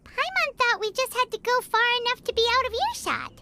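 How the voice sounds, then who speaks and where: A woman's voice: very high voice, expressive, brisk, very noisy. A woman voicing a small, cheerful, pushy fairy-like companion girl in a video game, with a perky manner. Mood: cheeky.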